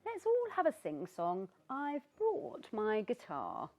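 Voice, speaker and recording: A woman doing a bubbly, high-voiced pumpkin boy. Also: huge pitch swings, slow, a little mellow, heavily acted. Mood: cheery. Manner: bubbly, friendly and eager. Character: cheerful upbeat young male pumpkin.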